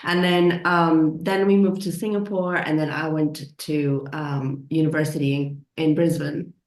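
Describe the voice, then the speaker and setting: Low-voiced woman, mixed accent, echoey room. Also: level tone, measured pace, a little mellow. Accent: mixed American and Australian. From Mexico. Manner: chatty, laughs often, many fillers. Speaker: author guest. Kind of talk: casual chat. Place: home, in London. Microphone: headphones on.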